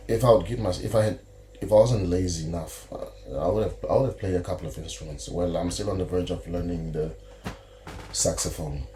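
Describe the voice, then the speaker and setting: A man's voice: low voice, a little fast, warm tone, faint music behind. A man from Zimbabwe with a Zimbabwean accent, a musician guest answering easygoing, often restarting his sentences. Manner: easygoing, self-correcting, proud. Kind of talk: casual interview answers.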